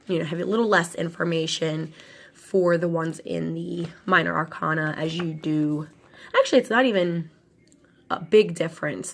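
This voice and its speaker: Nasal, slow-talking woman with a cold. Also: on the low side, creaky. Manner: rambling, many ums and restarts. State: has a cold, nasal and crackly. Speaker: tarot channel host. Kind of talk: casual unboxing talk.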